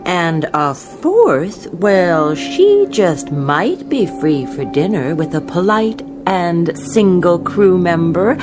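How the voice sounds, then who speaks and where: An actress playing a brisk, composed senior flight attendant in a radio drama. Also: female voice, on the low side, very animated, music in the background. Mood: playful. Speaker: actor.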